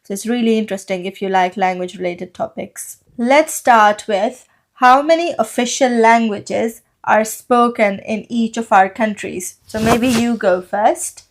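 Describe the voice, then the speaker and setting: A woman's voice: a little high, unhurried. A young adult woman with a Pakistani accent, a native Urdu speaker co-hosting a casual podcast and explaining at length with many "like" and "so" fillers. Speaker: podcast co-host.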